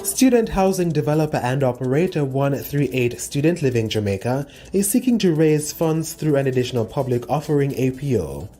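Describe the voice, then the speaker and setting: A man reading scripted business news in a measured, formal way. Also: medium pitch, warm tone. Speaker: news reader.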